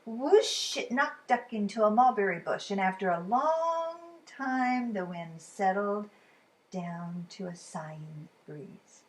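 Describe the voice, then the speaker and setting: Slow, animated woman reading a children's storybook. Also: mid-range voice, rough recording. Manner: dramatic, dropping to hushed whispers. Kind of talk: expressive picture-book read-aloud.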